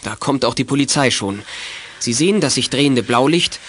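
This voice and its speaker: Medium-pitched male storyteller of audio drama. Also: expressive, moderate pace, a bit bright, slight background noise. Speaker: narrator. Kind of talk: scripted children's audio drama narration, present tense.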